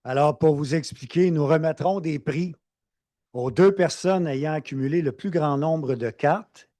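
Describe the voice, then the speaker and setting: Un homme à la voix un peu haute anime un congrès. Il présente les orateurs avec formalité et cérémonie, au micro d'une salle de conférence. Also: male voice, a little high, measured pace, warm tone. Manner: formal, ceremonious introductions. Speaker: event emcee. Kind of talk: formal event hosting. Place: conference hall.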